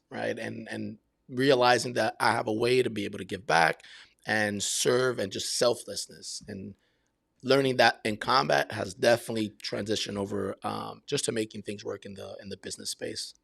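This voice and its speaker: A man's voice: on the low side, steady tone, normal speed, creaky, breathy edge, no room sound, studio quality. A man in his fifties from Brazil and Miami, answering interview questions in a casual, reflective way. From Brazil and Miami, United States. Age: 50s. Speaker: podcast guest, military veteran and realtor.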